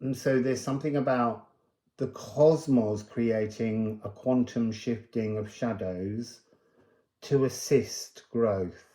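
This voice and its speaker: Male spiritual card reader, relaxed mellow timbre, medium pitch, unscripted and reflective with emphasized phrases. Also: slow, echoey room.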